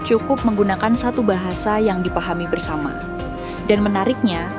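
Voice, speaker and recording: A woman reading a scripted documentary narration in a calm, measured way. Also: mid-range voice. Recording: music bed underneath.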